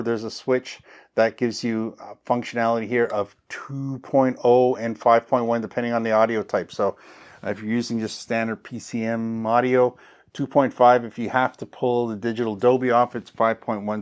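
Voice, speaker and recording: A man with a mid-range voice presents a hands-on tech tutorial. He talks casually and chattily, like a regular host showing gear piece by piece. His wording is plain and folksy, with little catchphrases. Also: mid-range voice. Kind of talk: casual hands-on how-to explanation.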